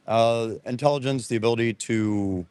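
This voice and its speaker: A man who travels the world debating casually with a street interviewer outdoors, talkative and quick to argue back. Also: medium pitch, darkish tone, clear, resonant tone, dry recording. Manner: talkative, argumentative, chuckling. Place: outdoors at a beach.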